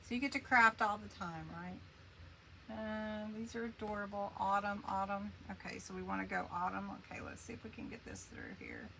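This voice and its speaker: A woman's voice: medium pitch, very animated, moderate pace, creaky, pushed and bright, a little hiss or hum, low-quality recording. A woman hosting a casual craft livestream, chatting along in a rambling way as she works and answers viewer comments.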